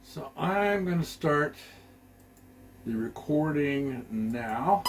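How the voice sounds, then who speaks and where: A man giving a casual, halting gear demo, thinking aloud as a musician at work. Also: fairly high pitch, lively intonation. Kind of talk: casual product demo talk.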